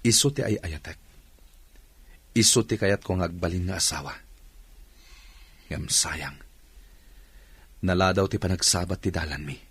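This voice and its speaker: A troubled husband in a radio soap. His voice is very low and husky, his delivery earnest and heavy-hearted. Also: a little slow, pauses often, a bit bright, dry recording, heavily acted. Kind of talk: Ilocano radio drama acting.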